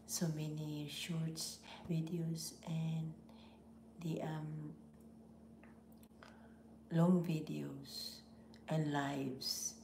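A middle-aged woman with a Filipino accent, hoarse from laryngitis, hosting a casual live stream from home with slow, half-whispered greetings. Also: low-pitched, level tone, warm tone, roomy sound, a little hiss or hum. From Philippines. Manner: breathy, half-whispered, slow with frequent pauses and throat clearing. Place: small home office room indoors.

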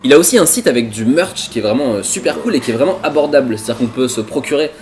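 Young man, French accent, rapid delivery, casual web show host, slangy, faint music behind. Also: mid-range voice, lively intonation. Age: 20s. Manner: slangy, joking. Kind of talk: casual chatty presenting to camera. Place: diner booth with red seats.

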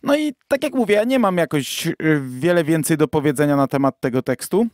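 A man's voice: fairly high pitch, animated, a little fast, a little mellow, dry recording, studio quality. A man co-hosting a podcast in a casual review discussion, hesitant and often correcting himself as he goes. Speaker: podcast co-host. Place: virtual studio, remote recording.

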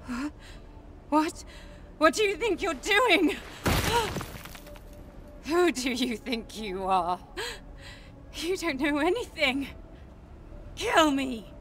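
A woman's voice: sits high, fairly bright tone, recorded from a distance, slight background noise, full character voice. An actress playing a bitter, defiant female warrior in a video game, with an intense, taunting, emotional delivery. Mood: indignant, desperate. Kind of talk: dramatic video game voice acting.

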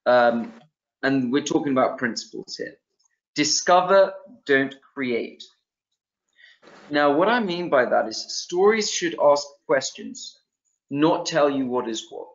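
A man's voice: fairly high pitch, lively intonation, slow, pauses often. Young man teaching a webinar, lo-fi call sound. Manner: loose, thinking aloud with many ums and restarts. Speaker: webinar presenter. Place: small room indoors. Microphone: video call. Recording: dull lo-fi video-call sound.

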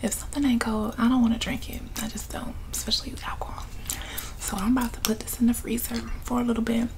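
A woman's voice: a little high, level tone, fast talker, low-quality recording. A young woman whispering a chatty, laughing ASMR ramble in her living room, talking to the camera in front of her. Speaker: ASMR vlogger. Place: living room with couch, indoors.

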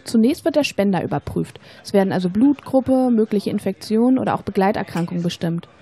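Mellow young woman student, explaining , as acted. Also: mid-range voice, expressive, breathy edge. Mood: matter-of-fact, explanatory. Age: young adult. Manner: explanatory, measured, rehearsed. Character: informed, persuasive young female student with donor card. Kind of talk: scripted educational radio-play dialogue.

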